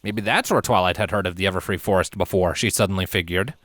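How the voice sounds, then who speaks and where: A man's voice: sits low, fast talker, a bit bright, dry recording. A man reading a scripted fantasy story aloud as its narrator. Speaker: fan fiction reader, narrator. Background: background music.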